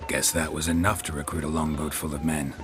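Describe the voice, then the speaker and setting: An actor playing a gruff, stoic monster hunter in a video game, with terse, deadpan lines in a low, gravelly voice. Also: male voice, brisk, music in the background. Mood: flat, probing. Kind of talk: video game dialogue acting.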